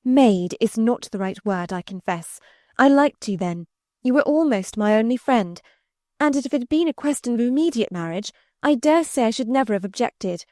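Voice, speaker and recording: Woman acting a desperate young woman, high-pitched. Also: animated, normal speed, dark tone, no room sound, studio quality, natural voice. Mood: defiant despair. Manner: pleading, emphatic, exclamatory. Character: desperate, high-strung young woman, defiant under pressure. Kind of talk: dramatised audiobook reading.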